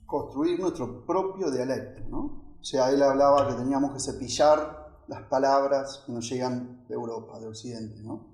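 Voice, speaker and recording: A man's voice: mid-range voice, moderate pace, a little mellow. A man giving an informal lecture in conversational, fragmented phrases, often checking in with listeners. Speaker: architect giving a talk. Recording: echoey room.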